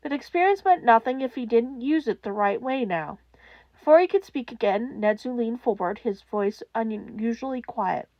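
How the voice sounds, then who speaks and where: A woman's voice: fairly high pitch, expressive, moderate pace, no echo, highs cut off. A woman reading fiction aloud as a dramatic scripted narration. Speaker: podfic narrator.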